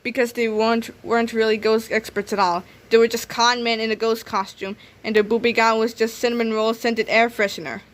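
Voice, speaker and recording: A woman reading a children's comic aloud in an animated, dramatic, expressive way, occasionally stumbling and re-reading. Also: fairly high pitch, a little fast, hardly any pauses. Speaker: read-aloud narrator.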